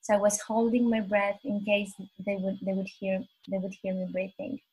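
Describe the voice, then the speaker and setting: A woman in her twenties from Albania, a guest on a podcast, telling her story in a modest, earnest, soft-spoken way. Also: mid-range voice, level tone, normal speed, slightly rough, poor audio. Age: 20s. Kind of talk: casual interview conversation.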